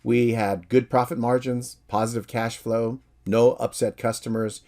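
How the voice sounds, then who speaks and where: A middle-aged American man, a professor from San Francisco, giving a calm, friendly, measured course introduction. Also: medium pitch. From San Francisco, USA.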